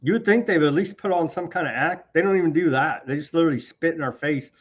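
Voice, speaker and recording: A man's voice: a little high, fast, highs cut off. A man hosting a live online news commentary show, sarcastic and scornful as he rants through the headlines. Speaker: political commentary host.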